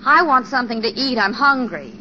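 A woman's voice: a little high, expressive, brisk, natural voice. An actress playing a wry, teasing, sceptical young woman in a 1940s radio mystery. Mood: coy, playful. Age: young adult. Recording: muffled old radio recording, noisy hum.

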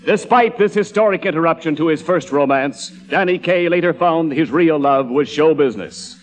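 A man's voice: a little high, normal speed, faint music behind. An American man announcing and narrating in a scripted, mock-grand 1940s radio style.

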